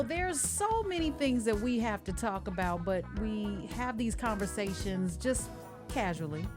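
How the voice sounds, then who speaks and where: A woman hosting a radio show in a casual, chatty way, speaking directly to her listeners. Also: mid-range voice. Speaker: radio and podcast show host.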